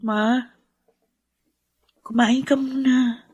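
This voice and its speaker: A woman's voice: high voice, steady tone, very slow, a little mellow, breathy edge, dry recording, dull audio, full character voice. A woman playing a wounded, bereaved young daughter in a Filipino radio drama, with an intense emotional delivery and a Filipino accent. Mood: worried, tearful.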